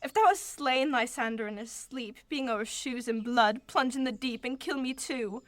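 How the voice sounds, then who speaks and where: A woman's voice: fairly high pitch, moderate pace, fairly bright tone, no room sound, lightly acted. A teenage woman playing a fiery, distraught young lover, delivering verse dramatically in an amateur Shakespeare radio play. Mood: accusing, grieving. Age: late teens.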